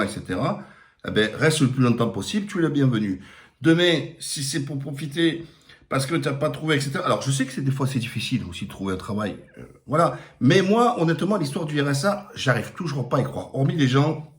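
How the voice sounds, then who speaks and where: Middle-aged man, Marseille accent, blunt live-stream commentator, emphatic with lots of fillers. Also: on the high side. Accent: Marseille French. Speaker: political commentator. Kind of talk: live-streamed opinion monologue.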